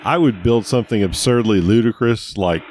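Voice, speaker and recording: A man's voice: lowish voice, moderate pace, darkish tone, a little hiss or hum. A middle-aged man co-hosting a casual, joking tech chat at a studio mic. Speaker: co-host. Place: cluttered indoor basement studio. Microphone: podcast mic on boom arm.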